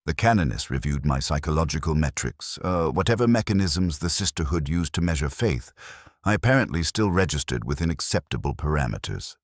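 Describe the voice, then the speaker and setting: An extremely low male narrator delivers scripted dark fiction with a solemn, clipped manner. His voice has a slightly husky grain and moves noticeably in pitch, captured very dry and close. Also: expressive, a little fast, dry recording. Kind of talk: scripted dramatic first-person fiction narration.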